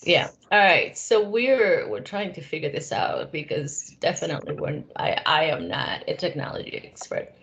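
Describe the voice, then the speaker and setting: A woman's voice: sits low, very animated, normal speed, gravelly, hardly any pauses, fairly bright tone. A woman informally interviewing a guest over a video call, as the host of the conversation. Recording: dull, lo-fi online call audio.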